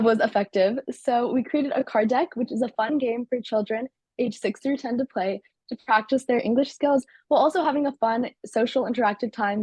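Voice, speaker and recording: Fast-talking teenage girl, husky and dry. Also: on the high side, darkish tone, dry recording. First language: Turkish. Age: teens. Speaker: student company CEO. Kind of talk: interview answers.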